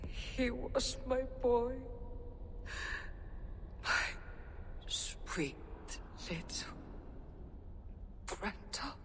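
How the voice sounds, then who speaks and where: A woman's voice: a little high, animated, very slow, long pauses, fairly bright tone, big-room sound, slight background noise, exaggerated voice. A woman playing a guarded, protective farm mother in a video game drama. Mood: grieving, hushed.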